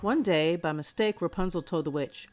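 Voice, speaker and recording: A woman reading a scripted children's fairy tale as a measured storyteller. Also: lowish voice. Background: music.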